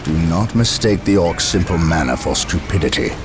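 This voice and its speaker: An actor playing a stern, resolute space marine commander in a video game cutscene, speaking with formal military authority. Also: male voice, low voice, lively intonation, moderate pace. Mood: stern, firm. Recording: music behind the voice. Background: music.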